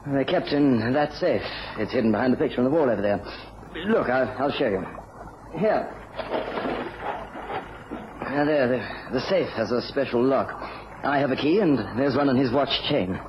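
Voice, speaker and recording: Actor as earnest secretary, noisy lo-fi radio. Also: male voice, medium pitch, animated, moderate pace, pauses often, close to an everyday voice. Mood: cooperative. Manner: polite, earnest, hesitant. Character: earnest, bewildered young male yacht secretary. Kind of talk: radio drama acting. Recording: noisy, dull lo-fi radio recording.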